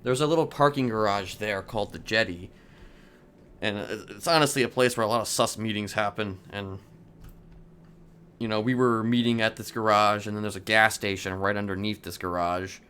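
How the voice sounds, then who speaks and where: A man in an improvised in-character roleplay conversation, talking haltingly and often restarting his phrases. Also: fairly low pitch, bright, forceful tone, smooth. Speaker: roleplay game player.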